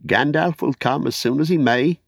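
A man's voice: a little high, normal speed, gravelly, dry recording, clean studio sound, pitched above the performer's own voice. A man voicing a small young hobbit in a storybook, courteous, earnest and eager, with formal old-fashioned phrasing. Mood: cheerful greeting. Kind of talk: audiobook character acting.

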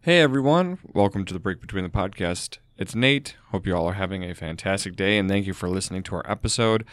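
Upbeat man hosting a podcast, slightly gravelly. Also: low voice, expressive, fast, a bit bright, dry recording, clean studio sound. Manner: upbeat, promotional, frequent ums. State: slightly gravelly from a sore throat. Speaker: podcast host. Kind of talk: casual podcast chat and read announcements.

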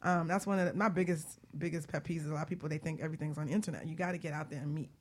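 A woman talk show host with a slightly low voice speaks very quickly. She keeps things chatty and informal, bantering with her guests in an animated, casual style. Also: slightly rough.

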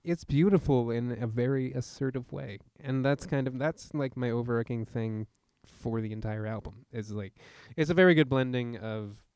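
A man chats casually as a podcast co-host, with a wry, joking way of talking. His voice sits at an average pitch. The sound is dry, with hardly any room to it. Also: normal speed, hardly any pauses, darkish tone, smooth, dry recording. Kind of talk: casual chat.

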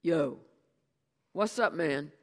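A man's voice: on the high side, animated, big-room sound, voice pushed up, full character voice. A reader voicing a heavyset, easygoing man who talks in loose slang and dodges blame, in an audiobook. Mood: breezy. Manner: laid-back slang, quick denials. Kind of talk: audiobook character dialogue.

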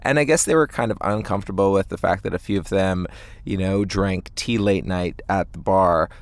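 A man, a band singer chatting casually in an interview with halting, hesitant phrasing. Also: sits low, dry recording. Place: inside a parked tour van.

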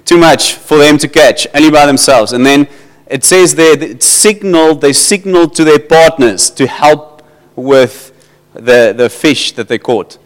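South African pastor in a reverberant hall. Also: male voice, a little high. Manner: halting, repeats words, switches into Afrikaans. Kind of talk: informal church sermon. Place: church hall.

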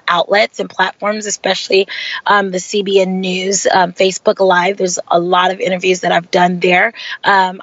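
A young woman raised in Hawaii, answering interview questions in an earnest way with many pauses and ums. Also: lowish voice, a bit bright, no room sound, highs cut off. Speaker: guest segment producer.

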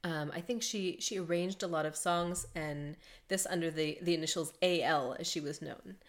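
A woman's voice: sits low, normal speed. A woman hosting a podcast, a mezzo-soprano telling a composer's life in a chatty way, laughing often between facts. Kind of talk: conversational podcast storytelling.

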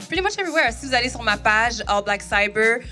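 A woman musician speaking excitedly about her release, mixing French and English. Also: a little high, fast, faint music behind. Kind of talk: casual podcast chat.

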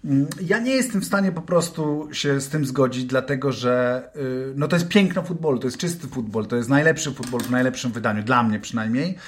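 Male pundit for casual football chat; average pitch, thinks out loud with careful hedging. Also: animated, fairly quick, few pauses. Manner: hesitant, hedging as he reasons aloud.

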